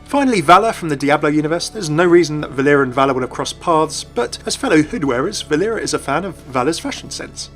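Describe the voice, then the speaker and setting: Higher-voiced man narrating over music. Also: expressive, fast talker, hardly any pauses, fairly bright tone. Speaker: host of a video game channel. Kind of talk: scripted explanatory narration. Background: background music.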